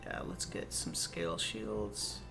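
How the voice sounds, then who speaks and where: A woman's voice: very deep voice, slow, creaky. A woman with a Minnesotan accent, chatting casually and playfully while streaming a game. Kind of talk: casual gameplay chat. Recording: game music behind.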